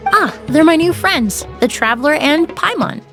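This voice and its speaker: A woman's voice: sits high, animated, moderate pace, a bit bright. A woman playing a poised, polite young perfumer in video game dialogue, speaking with composed, analytical precision. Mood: politely warm. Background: music.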